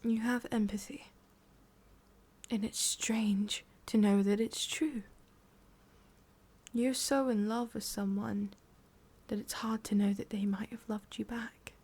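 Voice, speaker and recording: A woman with an English accent playing a menacing, mockingly tender female boss of demons in an ASMR roleplay, breathy and often whispering, with a taunting, condescending manner. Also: on the high side, steady tone, darkish tone, heavily acted. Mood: menacing, intimate. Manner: slow, taunting, condescending, with pauses and sighs.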